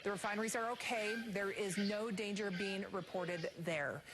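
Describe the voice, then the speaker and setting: Woman, TV news presenter, average pitch, live commentary on footage, breaking news delivery, noticeable background noise. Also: steady tone, normal speed.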